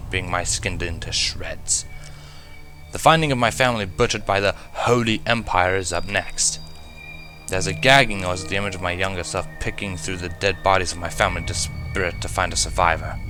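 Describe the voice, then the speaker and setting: A man's voice: sits low, sharp tone. A man narrating a first-person story expressively, as a fan fiction reader. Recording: music behind the voice. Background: music.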